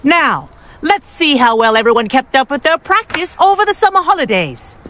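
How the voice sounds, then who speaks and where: Strict flying teacher in a game, high voice, very sing-song range, firm and precise. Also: female voice, very animated, normal speed, fairly bright tone. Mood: firm instruction. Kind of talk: video game character acting.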